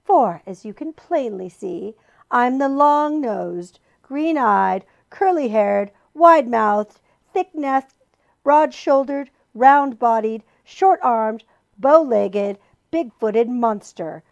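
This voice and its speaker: Storybook trickster monster, male, gleeful and cold, calls out high and loud, read by a woman. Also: expressive, slow pace, creaky, no room sound, muffled recording, heavily acted. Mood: gleeful boastful. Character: gleeful mischievous male monster of bad advice.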